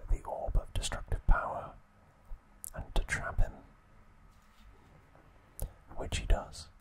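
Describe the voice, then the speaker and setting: A man whispering an ASMR recap narration in short, hushed, unhurried phrases. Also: rough recording.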